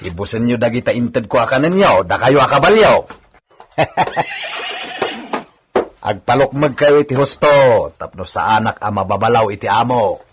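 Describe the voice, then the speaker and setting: A man's voice: medium pitch, expressive, normal speed, noisy background, natural voice. A male actor in a radio drama playing a hard-drinking hacienda worker who wheedles and nags. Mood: brisk, bossy.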